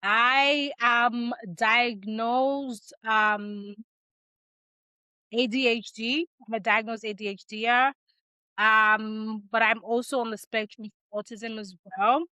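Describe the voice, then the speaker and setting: Thirties woman from Nigeria, podcast guest; slow and reflective, somewhat high voice, dry close sound. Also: fairly even intonation, pushed and bright, clean tone, no room sound, poor audio. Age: 30s. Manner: measured, reflective, with frequent ums and restarts. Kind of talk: casual interview chat.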